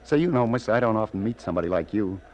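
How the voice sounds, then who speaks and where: Man acting a weary, low-voiced hard-boiled detective. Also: expressive, fast, a little mellow, dull audio, lightly acted. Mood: warm, encouraging. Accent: American. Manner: terse, wry, hard-boiled. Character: weary, sardonic male New York police detective. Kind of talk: late-1940s radio crime drama acting.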